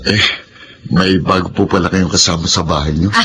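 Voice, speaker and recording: A man's voice: sits low, steady tone, moderate pace, lightly acted. A man playing a polite, hardworking young Filipino suitor in a drama, courteous and sincere. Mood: friendly, polite. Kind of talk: Filipino radio-style drama acting. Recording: some background noise.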